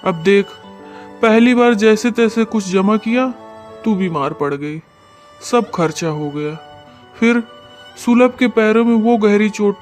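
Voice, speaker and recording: Man acting a high-voiced, despairing poor blacksmith. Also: measured pace, faint music behind. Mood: weary. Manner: sorrowful, lamenting. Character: poor, despairing village blacksmith, husband and father. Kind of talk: dramatized Hindi story narration.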